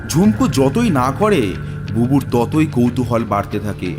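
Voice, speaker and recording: A man's voice: mid-range voice, big pitch swings, a little slow. A man narrating a scripted cartoon horror tale as a dramatic storyteller. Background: background music.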